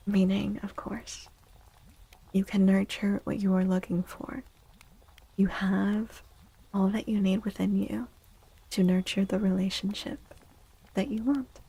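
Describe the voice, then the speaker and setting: A woman hosts an ASMR tarot stream in a soft, near-whispered voice. Her delivery is slow and gentle, with a dark, relaxed tone and plenty of creak at the edges. She sounds reassuring and speaks close to the microphone. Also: mid-range voice, rough recording. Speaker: livestream host and tarot reader.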